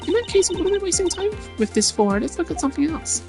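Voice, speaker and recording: Performer doing a high-pitched, excitable teenage girl. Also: quick pace, music in the background. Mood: blunt. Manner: excitable, casual, exclamatory. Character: spirited teenage girl sidekick, curious and blunt.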